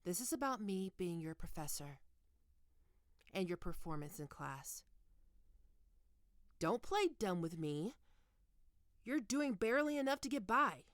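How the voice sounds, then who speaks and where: A woman playing a stern, teasing goth college professor in an ASMR roleplay, speaking deliberately and condescendingly into a close microphone. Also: fairly low pitch, no room sound. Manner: condescending, taunting, deliberate with pauses. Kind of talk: ASMR roleplay, scripted monologue to listener.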